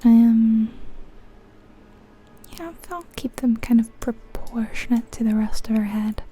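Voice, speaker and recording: A woman whispering breathily in an ASMR style, musing aloud in an unhurried, soft way as she comments on a game. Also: fairly high pitch, fairly even intonation, slight background noise. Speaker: ASMR video creator.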